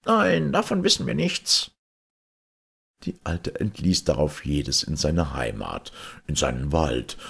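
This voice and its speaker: A man's voice: a bass voice, gravelly, slightly rough. A man reading a fairy tale aloud as a storyteller.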